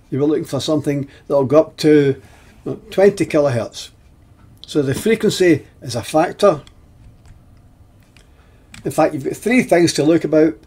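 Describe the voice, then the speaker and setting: Man in his seventies, instructional presenter, slightly high-pitched, soft mellow tone, husky, halting deliberate delivery. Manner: deliberate, halting phrasing with pauses. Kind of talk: explanatory technical lesson over slides.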